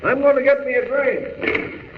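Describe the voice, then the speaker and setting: A cheery, folksy motorman in a vintage radio play. His voice is very high and the recording is muffled. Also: measured pace, long reverb tail, heavy background noise. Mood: friendly. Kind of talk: 1930s-40s radio drama acting.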